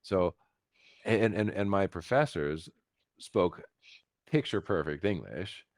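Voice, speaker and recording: An American man telling his story casually as an interview guest, halting and repeating words with emphatic asides. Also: deep voice, expressive, no echo, clean studio sound. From United States, Philadelphia. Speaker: interview guest, concert pianist.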